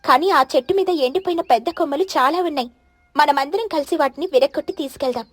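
A woman voicing a kind, wise female sparrow in a children's story, gentle, friendly and encouraging. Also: high-pitched, quick pace, no echo, voice pushed up, natural voice.

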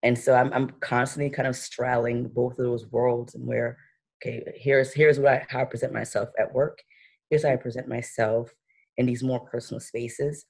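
A woman's voice: very deep voice, little pitch movement, moderate pace, gravelly, darkish tone, breathy edge. Thoughtful young woman on a video call. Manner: thoughtful, restarts often, searching for words. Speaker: panelist. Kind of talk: panel discussion over video call. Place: living room at home. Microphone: laptop webcam on a video call.

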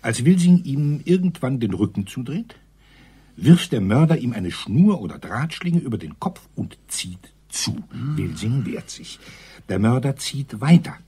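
Husky, animated man in a crime drama. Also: mid-range voice, unhurried, exaggerated voice. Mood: dramatic, emphatic. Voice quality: husky, breathy. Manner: rambling, hesitant, then emphatic. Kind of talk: German radio crime drama acting.

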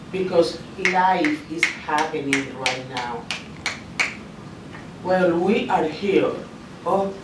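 Slow-paced Colombian woman, roomy classroom sound. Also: sits low. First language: Spanish. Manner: emotive, deliberate, repeats phrases for emphasis. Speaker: coach speaking to parents and children. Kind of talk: motivational presentation. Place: school classroom, indoors. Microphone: camera some meters away. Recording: roomy, noisy classroom, distant mic.

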